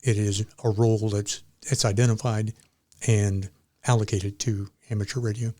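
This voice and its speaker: A man speaking as a club member and guest in a casual interview, explaining things in a measured way. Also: fairly low pitch, flat delivery, warm tone, no echo.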